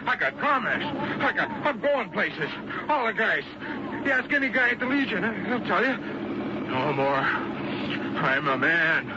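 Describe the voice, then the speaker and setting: Panicky, high-pitched guilty man at sea. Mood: desperate, defiant. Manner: stammering, nervous, muttering to himself. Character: panicky guilty man alone at sea, scheming and self-pitying. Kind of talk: old-time radio drama acting. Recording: dull radio drama recording, heavy noise.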